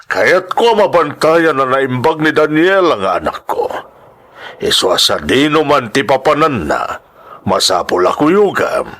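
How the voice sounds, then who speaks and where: A man playing a protective, authoritative older father and employer in an audio drama, firm and husky as he gives instructions. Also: mid-range voice, big pitch swings, a little slow, fairly bright tone. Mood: firm, concerned. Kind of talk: Ilocano audio drama acting.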